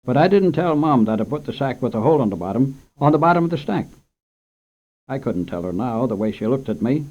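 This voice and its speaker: A man narrates a short story for children in an unhurried, gentle way, at an ordinary pitch for a man. It comes through as an old radio recording, dull and lo-fi. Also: big pitch swings.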